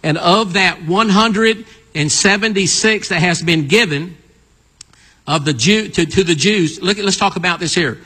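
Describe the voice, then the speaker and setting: A man's voice: high-pitched, a little slow, pushed and bright, slightly rough. A man in his sixties preaching a sermon, calling on the congregation to respond and quoting scripture in old-style wording. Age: 60s. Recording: long reverb in a big hall.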